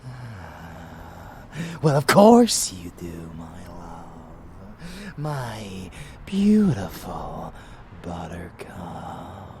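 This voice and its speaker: A man's voice: low voice, huge pitch swings, extremely slow, warm tone, exaggerated voice. A man playing an arrogant, possessive vampire lover in an intimate ASMR roleplay, theatrical and teasing, slipping into whispers. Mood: grandiose, intense.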